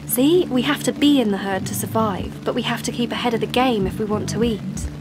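A woman's voice: on the high side, brisk, over music, lightly acted. A woman playing an assertive, persuasive young woman in an audio play, with an insistent, explanatory way of talking. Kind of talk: audio play monologue and dialogue.

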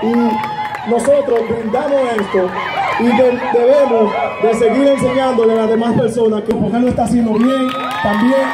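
A man hyping up a crowd at an outdoor night fitness event, shouting and chanting in a sing-song way into a handheld mic. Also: very high-pitched, very noisy. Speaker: event hype announcer. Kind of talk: shouted crowd hype over music. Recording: echoey street with loud music and crowd.

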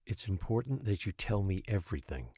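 A man's voice: on the low side, sing-song, fairly quick, vocal fry, mellow, husky, dry recording, studio quality, exaggerated voice. A man playing a probing interviewer who presses for an account in an audio drama. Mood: probing, insistent.

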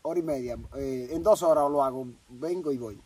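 A man's voice: mid-range voice, brisk, darkish tone, slightly rough, poor audio. A man with a rural Dominican Spanish accent, a farmer talking plainly about his life in an outdoor interview. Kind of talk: casual chat.

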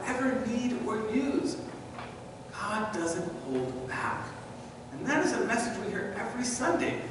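A middle-aged man preaching a sermon as pastor in a church sanctuary, animated and gesturing as he walks before the altar. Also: high-pitched, a little hiss or hum. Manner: animated, sweeping arm gestures while walking. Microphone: far camera in the church. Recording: echoey church from a distance.

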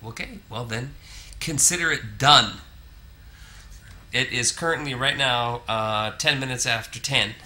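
A man's voice: mid-range voice, unhurried, pushed and bright, slight background noise. A man filming his own road trip, chatting casually to the camera with joking asides. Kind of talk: casual self-narration to camera. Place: on the road and in a parking lot.

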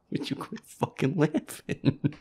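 A man playing a feisty boyfriend in a roleplay audio, muttering whiny, sweary complaints over a scary video game in a breathy half-whisper. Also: a little high, a little mellow, full character voice. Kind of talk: roleplay audio, improvised gaming chatter.

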